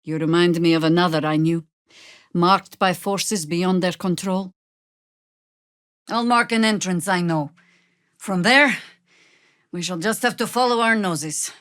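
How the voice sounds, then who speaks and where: An actress playing a world-weary, stern veteran druid woman in a video game, with dry, sardonic, measured delivery. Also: on the low side, fairly bright tone, no room sound. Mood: calm, resolute. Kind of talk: scripted game character dialogue and spell calls.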